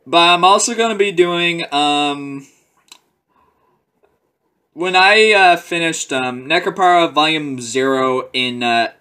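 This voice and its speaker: A man's voice: a little high, bright, forceful tone. A young man talking casually in a webcam update from a small room, a bit lightheaded and rambling with fillers and giggles. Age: young adult. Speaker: vlogger. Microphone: webcam at close range, headset round neck.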